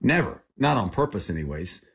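Older american guy in his 60s, raspy lowish voice, earnest personal storytelling in an interview. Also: very animated, normal speed, fairly bright tone. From Thailand, born in Texas. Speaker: interview guest, missionary.

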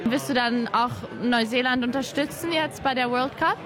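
A woman's voice: high voice, normal speed. A woman interviewer putting casual questions to the people she talks to. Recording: music and crowd noise behind. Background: music and crowd.

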